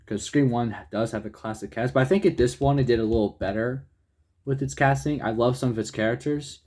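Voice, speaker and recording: A man's voice: mid-range voice, fairly quick. Casual young man talking movies. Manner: loose and excitable, with restarts and casual swearing. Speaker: co-host of a movie review channel. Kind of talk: casual movie review chat.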